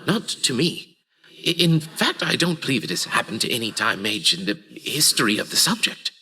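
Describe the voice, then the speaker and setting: A man playing a thoughtful old archivist mentor in a fantasy audio drama, raspy, halting and theatrical. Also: mid-range voice, big pitch swings, pushed and bright, exaggerated voice, low-quality recording. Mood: grave. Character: weary old archivist master, thoughtful time-travelling mentor.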